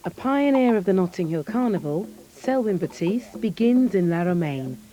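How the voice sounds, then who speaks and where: A narrator reading scripted documentary narration in an even, measured way. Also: mid-range voice, soft background music.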